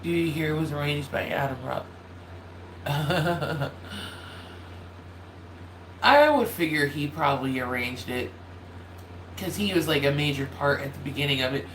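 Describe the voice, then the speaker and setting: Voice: medium pitch, unhurried, pauses often, fairly bright tone. A YouTuber chatting casually on a holiday livestream, with a nasal, slightly cracking voice, often apologizing and losing the thread. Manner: apologetic, loses track and restarts often. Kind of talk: casual rambling chat to viewers. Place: room at home with a loud space heater. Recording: roomy echo, faint heater hum.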